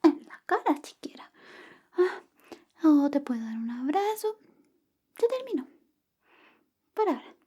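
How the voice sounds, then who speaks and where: A young woman playing a sly, clingy female best friend secretly in love, in a soft, whispery, coy ASMR roleplay. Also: high voice, sing-song, unhurried, pauses often. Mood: coy, possessive. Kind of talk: Spanish ASMR roleplay, personal attention.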